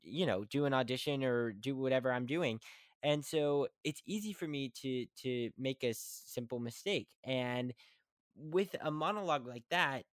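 A young man hosts his weekly show, chatting about acting in a loose, rushed way. He trips over his words and restarts sentences often. His voice sits at an ordinary pitch, and the recording is dry and close. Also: dry recording. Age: young adult. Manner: fast, stumbling, restarting often. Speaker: podcast host. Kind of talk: casual talk about acting.

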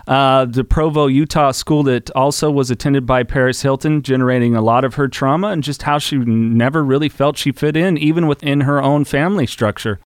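A man's voice: medium pitch, fairly even intonation, a little fast, few pauses, clean tone, no echo, studio quality. An American man hosting a podcast, delivering a casual introduction and sponsor read. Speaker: podcast host.

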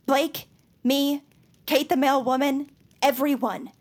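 A woman playing a nerdy, earnest, excitable young superfan in an audio drama, rambling through her explanations. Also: high voice, slow. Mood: urgent, pleading. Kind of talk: scripted audio drama acting.

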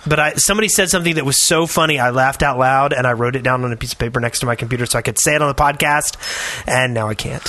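Middle aged guy on a gaming podcast, bright punchy voice, animated storytelling, just chatting. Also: medium pitch, rapid-fire, hardly any pauses, dry recording. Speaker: podcast co-host. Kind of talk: casual gaming podcast chat.

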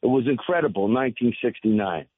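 A man, reminiscing casually and haltingly as a caller to a radio show. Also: mid-range voice, dry recording. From New York State. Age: 60s. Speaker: phone-in caller. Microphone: telephone. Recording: narrow, tinny, dry phone line.

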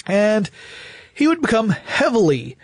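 Podcast co-host: American male, somewhat higher register, conversational explanatory delivery, dry recording. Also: huge pitch swings, measured pace, husky, dry recording.

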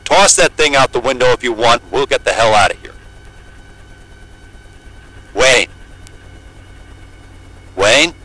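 An uneasy, bright-voiced male pilot. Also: medium pitch, expressive, slow, pauses often, no echo, exaggerated voice, low-quality recording. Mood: concerned. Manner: hesitant, colloquial. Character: plain-spoken, uneasy male pilot, crude but well-meaning. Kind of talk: naturalistic audio drama acting.

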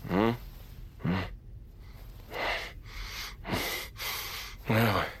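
A skeptical amateur bounty hunter in a comedic audio drama. He has a fairly low voice and a dry, needling, sarcastic delivery that sounds natural and conversational. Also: steady tone, normal speed, a bit bright, slightly rough, exaggerated voice. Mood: groggy.

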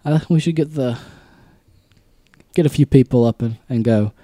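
Chatty mellow-voiced man in his twenties. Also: medium pitch, moderate pace, no echo. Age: 20s. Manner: chatty, laughs often, acts out quotes. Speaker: podcast co-host. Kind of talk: casual chat.

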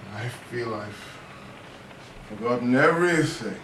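An actor playing a bitter, middle-aged former doctor, drunk and halting with guilt and self-pity, in an audio drama. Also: male voice, mid-range voice, animated, a bit bright. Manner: halting, self-pitying, swearing, drunken rambling. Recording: roomy, distant, noisy audio drama recording.

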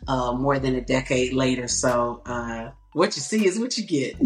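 A woman with a low alto voice, telling stories in a reflective way and peppering her talk with "you know". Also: takes it slowly, reverberant, soft background music. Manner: warm, reflective storytelling with many "you know"s. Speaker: guest singer. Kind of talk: casual interview talk.

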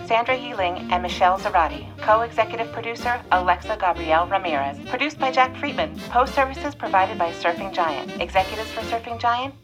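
A woman reads scripted credits as an announcer. Her voice is mid-pitched and moves evenly through list after list, with clear music playing behind her. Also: low-quality recording.

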